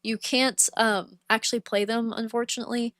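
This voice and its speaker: A woman's voice: a little high, little pitch movement, moderate pace, a bit bright, no echo. Chatty woman streamer, voice wearing dry. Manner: chatty, rambling, frequent "like" and "um". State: voice getting dry and worn from long talking. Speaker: game streamer. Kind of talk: casual livestream chat while playing. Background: game music.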